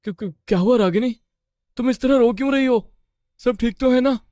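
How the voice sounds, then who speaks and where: Man playing an anxious, high-pitched young boyfriend. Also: fairly quick, dark tone, no echo, studio quality. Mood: alarmed. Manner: urgent, pleading, flustered.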